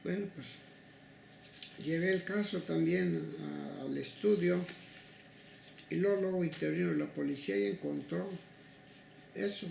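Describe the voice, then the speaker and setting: An older Mexican man recounts past cases as an interviewee. His voice is very gravelly and fairly high for a man, and he speaks in a halting, deliberate way. The room rings with echo around him. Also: a little mellow. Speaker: interviewee, human rights legal advocate. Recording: echoey room with faint noise.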